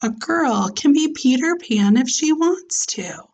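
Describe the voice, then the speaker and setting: A woman's voice: high voice, lively intonation, takes it slowly, darkish tone, muffled recording, pitched above the performer's own voice, exaggerated voice. A woman voicing a protective, reassuring storybook mother in a matter-of-fact, supportive way.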